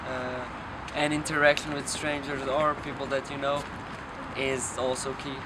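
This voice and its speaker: A man's voice: mid-range voice, level tone. A man rambling philosophically off the cuff, searching for words with hesitations and some swearing. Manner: halting, searching, profane. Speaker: documentary film subject. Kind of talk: unscripted rambling philosophical musing. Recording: noisy outdoor street with traffic.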